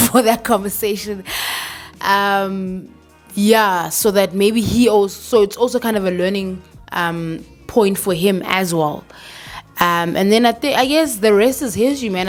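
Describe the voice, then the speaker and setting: A South African woman in her thirties talking candidly to camera in a casual vlog, slipping in local words and swearing now and then. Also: medium pitch. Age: 30s. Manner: candid, code-switching, swears, laughs. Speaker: vlogger.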